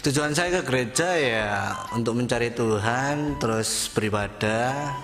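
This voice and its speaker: A man's voice: medium pitch, measured pace, music in the background. A twenty-six-year-old man answering plainly and earnestly. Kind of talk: casual interview answers.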